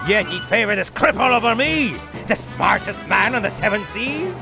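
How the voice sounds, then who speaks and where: A grandiose villain for a cartoon video game. The voice is gravelly and leaps about in pitch, boastful and jealous, with archaic flourishes over prominent music. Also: male voice, expressive, normal speed. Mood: indignant. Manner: grandiose, archaic pirate talk.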